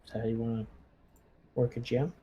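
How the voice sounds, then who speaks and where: A man's voice: mid-range voice, fairly even intonation, brisk, warm tone, breathy edge. A man talking casually as a player who leads the squad in a tabletop roleplaying game.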